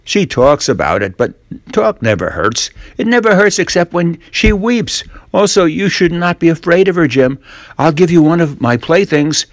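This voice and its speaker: A man's voice: on the high side, very animated, moderate pace, dry recording, voice pushed up. A man voicing a sly, persuasive young boy in a storybook reading, with an emphatic, coaxing manner.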